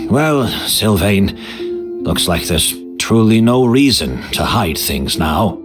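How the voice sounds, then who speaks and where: An actor playing a weary, measured male perfumer in a game, explaining things gravely. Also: lowish voice, very animated. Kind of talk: game voice acting. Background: game music.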